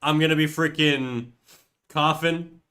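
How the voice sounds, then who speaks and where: A man's voice: on the high side, fairly even intonation, takes it slowly, bright, forceful tone, smooth. A young man with a cold, sounding stuffy and nasal, chatting casually and swearing on a livestream. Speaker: streamer. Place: home room.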